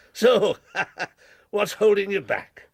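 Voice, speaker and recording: A blunt, shrewd older man of business for period radio drama. The voice is husky and full of gravel, fairly high for a man. He is domineering and jovially pushy, in a very dry recording. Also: drawn-out words, dry recording, full character voice. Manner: brusque, bluff, wheedling.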